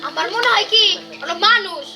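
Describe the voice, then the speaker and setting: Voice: very high-pitched, moderate pace. An actor voicing a sceptical, argumentative young person with an exasperated manner in a cartoon. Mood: incredulous. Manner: argumentative, incredulous. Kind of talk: cartoon voice acting. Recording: loud music under a distant-sounding voice.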